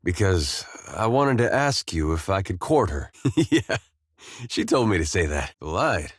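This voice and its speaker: An actor playing an easygoing, wry, war-weary farmer turned adventurer in a video game, talking in a folksy, understated way with short, plain phrases. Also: male voice, low voice, big pitch swings, normal speed, dry recording, studio quality. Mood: casual, probing. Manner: folksy, laid-back, understated, drawling short clipped phrases. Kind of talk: video game companion dialogue.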